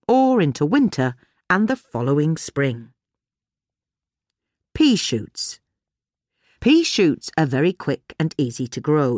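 A woman's voice: low voice, no room sound, studio quality. A woman with a British accent reading a scripted article as a narrator.

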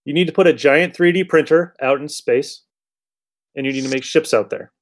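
A man's voice: on the high side, normal speed. A man hosting a podcast, chatting casually about an old radio show in a blunt, irreverent way with some swearing. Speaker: podcast host.

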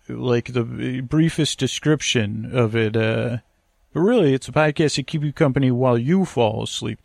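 A man hosting a sleep podcast in a creaky, gravelly voice, wandering through a halting, self-deprecating bedtime monologue. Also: medium pitch, dry recording, studio quality. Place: wood-panelled lakeside cabin bedroom.

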